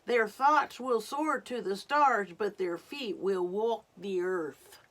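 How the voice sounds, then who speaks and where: Old Turtle, slow solemn wise elder voiced by a woman. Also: medium pitch, a bit bright, voice pushed up, poor audio. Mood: prophetic, tender. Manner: solemn, deliberate, emphatic. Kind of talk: storybook read-aloud for children.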